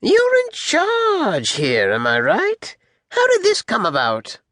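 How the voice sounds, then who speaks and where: A man voicing a mocking, imperious warlord with a high-pitched, grating voice, in an audiobook style. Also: wildly swooping pitch, slow, a bit bright, dry recording, clean studio sound, full character voice. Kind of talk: audiobook character acting.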